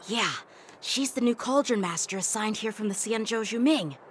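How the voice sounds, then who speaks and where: A woman's voice: fairly high pitch, moderate pace, slight background noise, natural voice. An actress voicing a strict, formal woman port inspection officer. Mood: probing. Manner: formal and insistent. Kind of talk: game voice acting.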